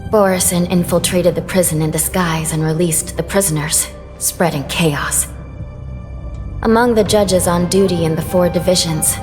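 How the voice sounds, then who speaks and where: A deferential female judge, voiced by a woman reports in formal, polite sentences. Her voice sits a little low, with game music underneath and a long reverb. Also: natural voice. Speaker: game character.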